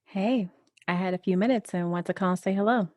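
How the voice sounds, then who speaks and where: A woman's voice: mid-range voice, a little fast, darkish tone, no echo, lightly acted. A woman acting in an audio drama as a coy, hesitant wife carrying on a secret affair. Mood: affectionate.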